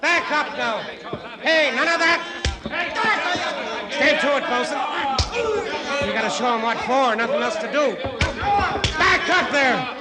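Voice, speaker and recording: An actor in an old radio drama playing an excitable, put-upon young first mate, blunt and sarcastic. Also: male voice, extremely high pitch, measured pace, long pauses, over loud music, a loud crowd. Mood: shouting orders. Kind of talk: 1940s-50s radio acting. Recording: noisy old radio recording, uproar behind.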